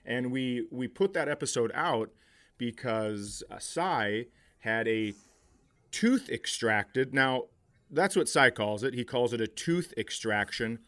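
A man's voice: medium pitch, animated, takes it slowly, a bit bright. A man hosting a comedy podcast, bantering casually with a teasing, sarcastic and profane manner.

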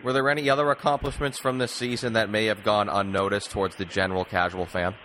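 A male interviewer with a slightly low voice speaks rapidly in a casual sports chat. His tone is sharp and bright, with faint room noise behind him. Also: steady tone, poor audio.

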